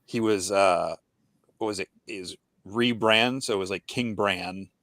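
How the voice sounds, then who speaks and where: Low-voiced, halting American podcast host. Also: male voice, a bit bright, no echo. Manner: hesitant, many fillers and restarts, asks questions. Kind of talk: casual podcast conversation.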